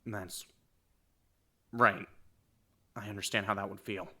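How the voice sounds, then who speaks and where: A man voicing a calm, sympathetic young man who speaks in a measured way, in a fan audio drama. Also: lowish voice, exaggerated voice. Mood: gentle.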